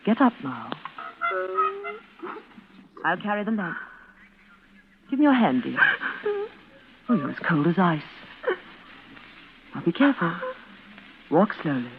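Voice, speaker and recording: A woman's voice: fairly low pitch, sing-song, slow, long pauses, heavily acted. An actress playing a sinister woman who coaxes a frightened sister with soothing endearments and insistent commands, in 1940s radio suspense style. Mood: soothing, coaxing. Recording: noisy, tinny old radio broadcast recording.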